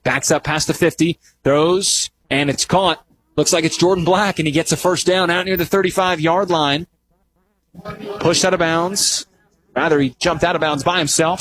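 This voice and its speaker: A man doing live radio play-by-play of a football game, descriptive and conversational, getting animated on big plays. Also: fairly high pitch, a little hiss or hum, poor audio. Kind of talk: live radio sports commentary.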